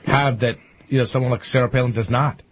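A man's voice: mid-range voice, fairly even intonation, quick pace, slightly rough, lo-fi sound. An American man discussing politics on a panel, emphatic and often repeating himself to make a point.

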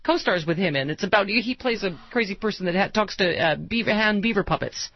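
A woman hosting a comedic radio talk show, quick and teasing in her banter. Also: medium pitch, lively intonation, no echo. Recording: dull, slightly lo-fi radio broadcast audio.

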